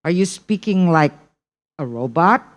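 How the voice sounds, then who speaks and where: A woman's voice: on the low side, animated, a little slow. A woman with an Indonesian accent teaching a test preparation class online, repeating key points and checking in with the class. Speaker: English test prep teacher.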